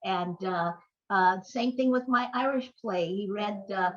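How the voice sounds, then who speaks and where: An older woman reminiscing in a conversational interview, wordy and halting as she corrects herself. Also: mid-range voice. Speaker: interview guest, playwright and screenwriter. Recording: dull, lo-fi audio.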